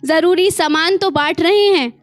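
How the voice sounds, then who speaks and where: An Indian woman poet performing her own spoken-word verse live, expressive and deliberate, with asides to her listeners and lines repeated for emphasis. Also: extremely high pitch. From small town in India.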